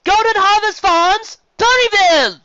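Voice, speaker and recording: A reader voicing a loud female pony contest announcer who calls out the results of a competition. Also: very high voice, measured pace, dry recording, highs cut off, pitched above the performer's own voice, full character voice. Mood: announcing. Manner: calling out results.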